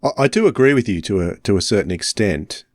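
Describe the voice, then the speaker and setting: Male podcast host, very low voice, dry close sound, measured and conversational. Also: lively intonation, dry recording. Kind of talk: casual interview chat.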